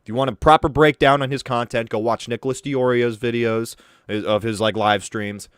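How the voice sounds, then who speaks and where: A young man with a deep voice giving crude, mocking commentary and swearing freely. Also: fairly even intonation, normal speed, smooth, no echo. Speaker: commentary streamer. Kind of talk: casual drama commentary.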